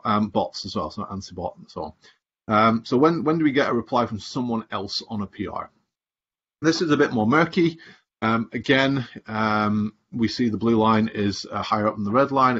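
Husky man presenting over a video call. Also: medium pitch, fairly bright tone. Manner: explanatory, thinking aloud with many fillers. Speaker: community presenter. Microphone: video call webcam. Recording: dull lo-fi video call audio.

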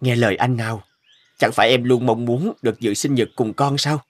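An actor playing a gentle, worried young husband in a cartoon drama, speaking in an earnest, caring, pleading manner. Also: male voice, medium pitch, steady tone, a little fast, no room sound, natural voice. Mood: gentle, consoling. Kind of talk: dubbed cartoon drama acting. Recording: studio voice under loud music.

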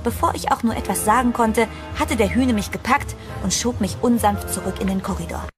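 A woman's voice: a little high, brisk, lightly acted. An actress playing an anxious woman who narrates her own horror story in a dramatic, suspenseful radio play style. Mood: tense, suspenseful. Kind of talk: German horror audio drama, scripted first-person narration. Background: prominent music.

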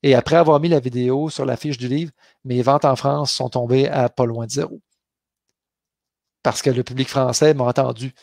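Gravelly Québécois man with a Beauce accent. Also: medium pitch, steady tone, normal speed, darkish tone, no echo, studio quality. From Quebec. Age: 40s. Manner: chatty, many fillers and restarts. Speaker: author hosting a live Q&A. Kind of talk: casual live Q&A. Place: home office with bookshelves. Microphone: headset.

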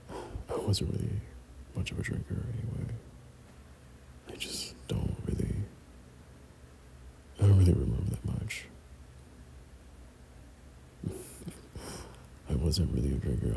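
A young man doing intimate boyfriend roleplay ASMR in a breathy, often whispered voice, speaking tenderly and hesitantly. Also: very deep voice, sing-song, a little slow, long pauses, slight background noise. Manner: tender, hesitant, softly chuckling. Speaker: boyfriend ASMR audio performer.

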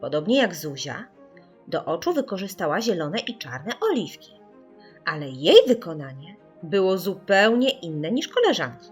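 A woman reading a children's story in an expressive, theatrical storytelling manner. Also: fairly low pitch, moderate pace, soft background music. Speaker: audiobook narrator.

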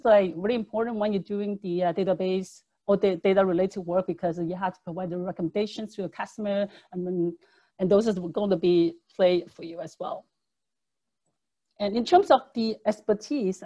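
A woman's voice: mid-range voice, dark tone, dull audio. A woman whose first language is Cantonese, presenting a public speaking lesson over slides in a video call, with earnest, conversational phrasing and frequent fillers. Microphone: webcam microphone on video call.

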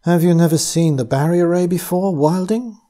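A man reading aloud, voicing a soft-spoken, teasing young woman who pilots a flying ship in a storybook. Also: high-pitched, moderate pace, pitched above the performer's own voice, lightly acted. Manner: soft, lilting, playfully condescending.

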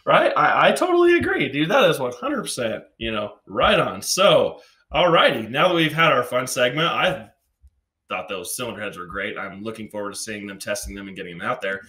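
A man's voice: mid-range voice, very animated, brisk, bright, forceful tone, roomy sound. A man in his thirties hosting a casual car show with an upbeat, enthusiastic manner, wearing a headset in a garage studio. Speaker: show host. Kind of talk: casual podcast chat.

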